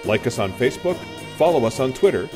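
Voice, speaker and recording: A man gives a promotional sign-off as an announcer. His mid-range voice moves up and down in a lively way, with music clearly playing underneath. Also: medium pitch, quick pace.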